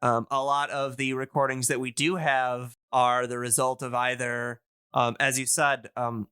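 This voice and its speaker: A man with a bright, smooth voice in a dry, close recording. He talks casually, as an enthusiast explaining on a podcast. His delivery is halting and rambling, padded with fillers. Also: medium pitch, no echo. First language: English. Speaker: podcast speaker on old-time radio.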